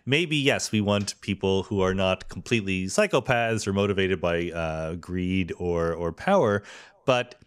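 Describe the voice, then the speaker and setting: A man hosting a podcast, asking thoughtful, measured questions in a casual long-form interview. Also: sits low, clear, resonant tone. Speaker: podcast host.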